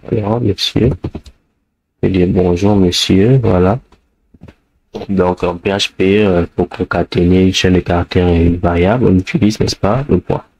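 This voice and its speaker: Man with the flu, deep and slow, hesitant coding tutor with a dry close mic. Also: steady tone, a little mellow, no room sound, rough recording. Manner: hesitant, many fillers, thinks aloud while typing. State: has the flu. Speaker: programming tutor. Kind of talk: screencast coding lesson.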